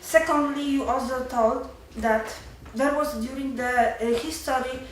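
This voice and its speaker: A woman in her twenties with a Polish accent, a nervous student giving halting, unscripted remarks at a seminar. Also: high-pitched, fairly even intonation. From Poland. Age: 20s. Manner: halting, repeats and breaks off words. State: nervous, stressed. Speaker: university student asking from the floor. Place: university seminar room. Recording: very echoey hall, distant, faint noise.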